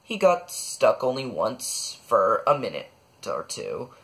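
A man reading a children's bedtime story aloud, expressively, with dramatic pauses. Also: medium pitch, very animated, fairly bright tone, slightly rough. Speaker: storybook reader.

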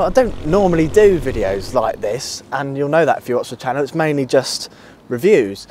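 A British man in his thirties talks in a medium-pitched voice, easygoing and keen. He chats casually like a reviewer addressing his viewers. Ambient outdoor noise and vehicles sit behind him. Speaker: motorcycle reviewer, channel host. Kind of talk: casual vlog chat to camera. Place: outdoors at a table outside a country pub.

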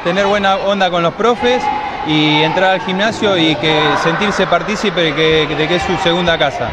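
A middle-aged man with an Argentine accent explaining a gymnastics program in measured answers with many "eh" fillers, speaking into a handheld mic in an indoor gym. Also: high-pitched. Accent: Argentine Spanish. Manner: measured, with frequent hesitant fillers. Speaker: gymnastics school coordinator. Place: indoor gymnastics hall with children. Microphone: handheld mic held by interviewer. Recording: loud crowd and noise behind.

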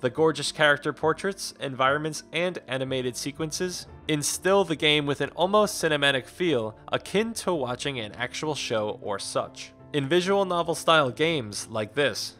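A man reading a scripted game review in a measured, formal way. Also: a little high, bright, forceful tone. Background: background music.

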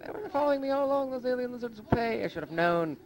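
A man's voice: extremely high pitch, lively intonation, fast talker, voice pushed up. A man voicing a hot-headed space pilot lieutenant, urgent and strained, in an amateur story. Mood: alarmed, vengeful.

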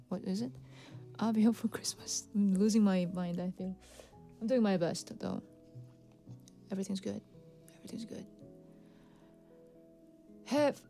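Korean-accented female livestream host, 30s, chatty and soft, studio mic in a cozy room, faint piano. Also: mid-range voice. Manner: chatty, laughs often, soft and friendly. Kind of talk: casual chat with viewers, reading donations. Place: cozy home music room with Christmas decorations. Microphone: studio condenser mic on stand.

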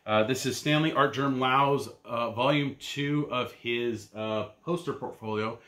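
A man in his thirties giving a casual, rambling rundown of his weekly comic picks from a shelf-lined room at home, full of ums and uhs and trailing off quietly. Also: mid-range voice, a little slow. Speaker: comic book vlogger. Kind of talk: casual pull-list rundown.

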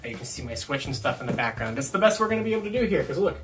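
A man's voice: mid-range voice, big pitch swings, rapid-fire, music in the background. Young male vlogger, informal and enthusiastic, talking to camera in a small bedroom. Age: young adult. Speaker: toy review vlogger. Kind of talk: casual unboxing review to camera. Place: small dorm bedroom, indoors.

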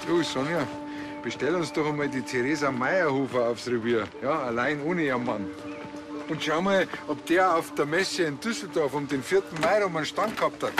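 A man's voice: on the high side, moderate pace, over music, lightly acted. An actor with a Bavarian accent playing a blunt, wry village policeman in a TV crime comedy, grumbling dryly and colloquially. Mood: matter-of-fact.

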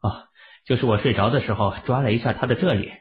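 A man voicing a calm, unflustered young man in an audio drama, who speaks casually and matter-of-factly. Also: medium pitch, quick pace, lightly acted. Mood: offhand. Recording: tinny phone-like audio.

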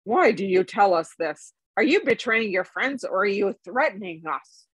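A woman's voice: mid-range voice, very animated, moderate pace, a bit bright, husky, dry recording. A woman reading a storybook, voicing a stern, suspicious male warrior leader in a grim, blunt manner.

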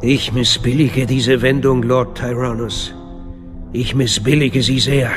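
An actor playing a calculating, commanding Sith master in a radio drama, speaking in a deliberate, imperious way. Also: male voice, mid-range voice, steady tone, lightly acted. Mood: stern displeasure. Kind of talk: German science-fiction radio drama acting. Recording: dramatic music under the voice.

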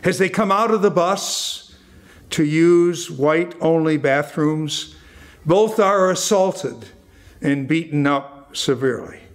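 An older American man preaching a sermon as a pastor, with deliberate, emphatic phrasing and pauses. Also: a little high. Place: indoors at a church lectern.

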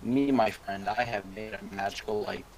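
A man's voice: on the low side, steady tone, moderate pace, creaky, a little mellow, a little hiss or hum. A man co-hosting a gaming video, joking with a friend and whispering to himself as he crafts. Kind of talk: casual gaming chat.

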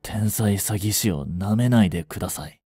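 An actor playing a young genius swindler in a romantic audio drama, lovestruck, jealous and boyishly flustered, speaking politely. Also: male voice, fairly low pitch, normal speed, dark tone, slightly rough, no echo, soft background music. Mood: cocky. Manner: polite speech, stammering when flustered. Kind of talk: romantic audio drama acting, addressed to the listener.

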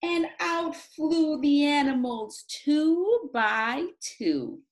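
A woman in her forties teaching young children in an expressive, encouraging, sing-song way, as in a read-aloud and craft lesson. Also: high-pitched, very slow. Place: small indoor home classroom. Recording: roomy, echoey home room sound.

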